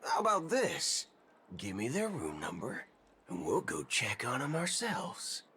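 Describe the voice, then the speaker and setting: An actor playing a brash, impatient, playful gunslinger in a video game, with a folksy, swaggering way of talking. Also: male voice, medium pitch, huge pitch swings, measured pace, pushed and bright, slight background noise, full character voice. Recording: clear music behind.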